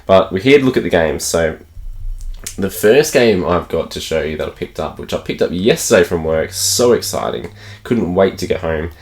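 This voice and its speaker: An Australian man with a croaky voice from a cold, chatting enthusiastically to camera about games he picked up and laughing often. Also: sits low, animated, moderate pace. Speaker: gaming channel host. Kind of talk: casual unboxing chat to camera.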